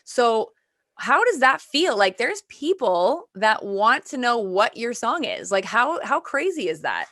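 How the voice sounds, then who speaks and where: A woman's voice: a little high, very animated, unhurried, a bit bright, no echo, studio quality. A woman with a Canadian accent hosting a conversational radio interview in a chatty, effusive way. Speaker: radio show host.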